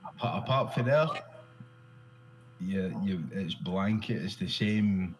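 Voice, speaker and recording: A man's voice: sits low, slow pace, a little hiss or hum, low-quality recording. A Glaswegian Scottish man in casual conversation, talking loosely, repeating himself and swearing freely. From Glasgow, Scotland.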